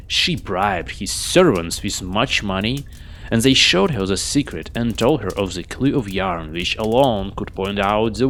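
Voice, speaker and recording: A man reading a fairy tale aloud as a storyteller, expressively and with measured, theatrical delivery. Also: on the low side. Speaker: storyteller, reader. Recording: noisy home recording.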